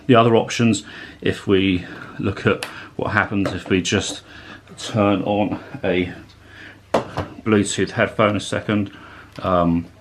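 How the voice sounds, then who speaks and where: Low-voiced man reviewing tech. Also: steady tone, slow, slight background noise. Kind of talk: casual product review to camera.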